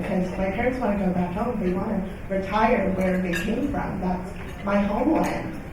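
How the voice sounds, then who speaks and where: A young woman from Nigeria speaks on a conference panel in a measured, factual way. Her voice sounds distant in a large, echoing hall. Steady room noise sits behind her. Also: lowish voice. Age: young adult. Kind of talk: panel discussion.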